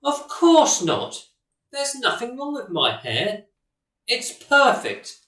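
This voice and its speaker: A woman's voice: fairly high pitch, wildly swooping pitch, slow, a bit bright, full character voice. A woman acting a vain, cocky footballer in a radio play, defensive and dismissive in manner. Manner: dismissive, stubborn. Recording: roomy, distant microphone.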